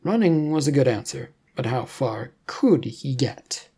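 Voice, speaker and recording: Mellow, husky storyteller reading adventure fiction. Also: mid-range voice, animated, unhurried. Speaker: story narrator.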